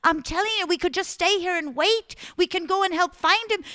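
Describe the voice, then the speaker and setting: A woman voicing a bold young red-haired heroine who talks in a breathless, pleading, shrill rush. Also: quick pace, no echo, studio quality. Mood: frantic.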